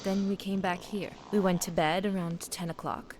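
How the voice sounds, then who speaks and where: An actress playing a poised, guarded young American diplomat's wife in a video game, composed and polite. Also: female voice, on the low side, fairly even intonation, moderate pace, a little mellow, slight background noise, close to an everyday voice. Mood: matter-of-fact. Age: young adult.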